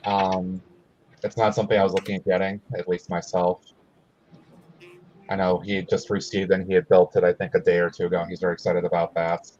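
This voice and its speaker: A man hosting a casual livestream from home, friendly and quick to laugh, greeting viewers by name. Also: sits low, flat delivery, a little mellow. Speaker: livestream host. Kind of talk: casual chat. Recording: dull lo-fi stream audio.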